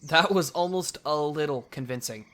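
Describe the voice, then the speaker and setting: A man's voice: fairly high pitch, normal speed, a bit bright, natural voice. A man playing a teasing, cocky teenage older brother in an audio drama, with a dry, mocking delivery.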